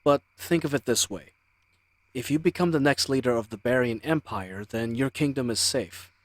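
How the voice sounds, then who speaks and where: A man's voice: mid-range voice, normal speed, no room sound, close to an everyday voice. A man playing a charming, cocky bandit crew leader in an audio roleplay, with a teasing, swaggering, conversational manner. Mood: persuasive. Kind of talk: dramatic audio roleplay acting.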